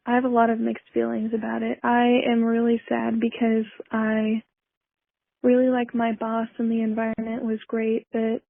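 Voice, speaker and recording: Female caller, unhurried and fairly flat, confessional, dry lo-fi voicemail sound. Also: fairly high pitch, gravelly, no echo. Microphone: phone. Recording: dry, narrow-band phone voicemail.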